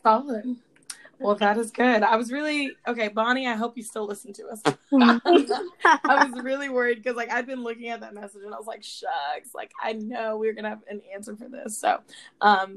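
A woman's voice: a little high, a little fast, fairly bright tone. A young woman co-hosting a podcast, upbeat and encouraging in casual chat. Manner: upbeat, encouraging, says "solid". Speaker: podcast co-host.

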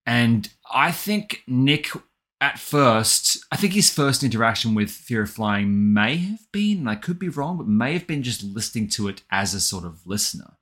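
An Australian man talking reflectively and articulately in an interview, with an animated storytelling way about him. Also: medium pitch, normal speed, husky. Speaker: guest author and audio drama writer.

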